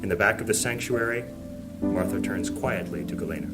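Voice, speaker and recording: A man's voice: deep voice, fairly quick. A man narrating a scripted fantasy audio drama as a solemn storyteller. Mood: solemn, descriptive. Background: plucked guitar music.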